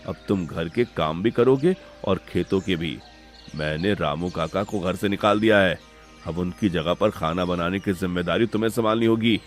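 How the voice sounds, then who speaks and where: A man voicing a stern, commanding and scheming older brother in an animated moral story. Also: sits low, normal speed, faint music behind, natural voice. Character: domineering, scheming older brother turned cruel master.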